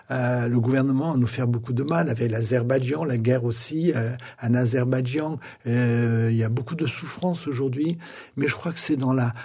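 A man's voice: medium pitch, dark tone, breathy edge. An older man, a pastor, telling his story in a radio interview in an earnest, reflective way. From France. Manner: earnest, reflective, preacherly pauses.

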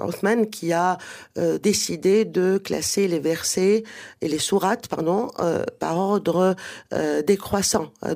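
A woman's voice: fairly low pitch, a little mellow. Hesitant woman, native Arabic speaker. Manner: hesitant, explanatory, many fillers and restarts. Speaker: guest author. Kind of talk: radio interview.

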